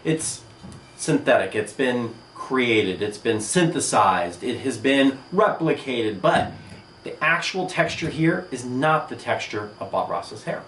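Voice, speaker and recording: A man teaching an art lesson on video, speaking emphatically in short phrases with pauses. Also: mid-range voice, animated, a bit bright. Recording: echoey room, distant mic, noisy.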